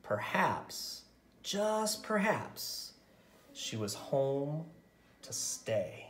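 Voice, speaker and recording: A man reading a picture book story aloud in a gentle, measured way with dramatic pauses. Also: fairly high pitch, big pitch swings, roomy sound, a little hiss or hum. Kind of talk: scripted story narration, read aloud.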